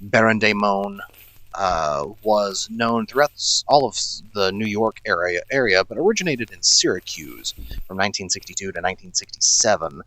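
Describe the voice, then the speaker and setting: Male radio host, lowish and forceful, chatty but halting, recorded close and dry. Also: animated, no echo, low-quality recording. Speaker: radio horror show host. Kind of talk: chatty music radio presenting.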